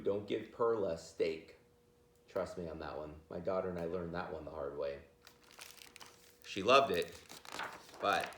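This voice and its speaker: A man's voice: mid-range voice, fairly bright tone. Guy doing a playful kids book read-aloud, really swoopy voice, echoey room, chats to the listeners. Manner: playful, addresses listeners directly. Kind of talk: animated children's picture book read-aloud with chatty asides. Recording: roomy, echoey sound.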